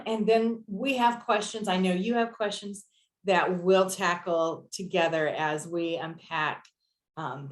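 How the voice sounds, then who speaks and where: A woman moderates a student panel over a video call, her voice sitting a touch low. She speaks in a measured, hesitant way, often pausing on "um." The sound bounces around an echoey room. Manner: measured, hesitant with many "um" fillers. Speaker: admissions associate director moderating a panel.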